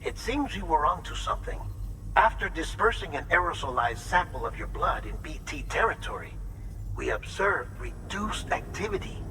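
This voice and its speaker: An actor playing an analytical researcher in a video game, briefing in precise, formal words. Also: male voice, fairly high pitch, animated, moderate pace, bright, forceful tone, a little hiss or hum, rough recording. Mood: measured, intrigued. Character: brisk, analytical male researcher briefing a courier.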